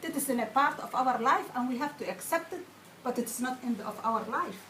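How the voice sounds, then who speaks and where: A woman's voice: sits high, lively intonation, fairly bright tone, recorded from a distance, a little hiss or hum. Woman, off-mic in a roomy studio. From Somalia. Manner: halting and repetitive, restarts phrases, earnest. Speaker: studio guest. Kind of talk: radio panel discussion.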